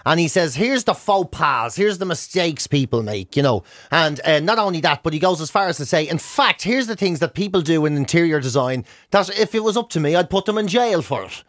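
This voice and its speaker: A man's voice: on the high side, animated, brisk, hardly any pauses, a bit bright, no echo, clean studio sound. A man with a Dublin accent, a breakfast radio host doing comic, emphatic banter in mock outrage. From Ireland.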